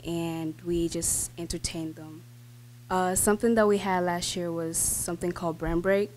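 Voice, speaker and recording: A woman's voice: fairly low pitch, a little mellow, slight background noise. A teenage girl reading a prepared speech at a school board meeting, with fillers and restarts. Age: teens. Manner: reads from notes with fillers and restarts. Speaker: student speaker. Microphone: podium microphone.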